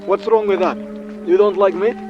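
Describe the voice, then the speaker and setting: A man's voice: high voice, fairly even intonation, moderate pace, lightly acted. An actor playing a brutish, simple-minded Soviet henchman with a Russian accent in a comic radio play. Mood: eager, blunt. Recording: muffled, with music behind.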